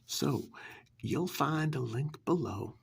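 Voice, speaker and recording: A man playing a smug, sinister get-rich-quick pitchman in a soft, husky, halting ASMR parody infomercial. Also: animated, heavily acted. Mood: calm, sly menace. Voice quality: husky, breathy, gravelly. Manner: halting, smug, casually menacing, sweary.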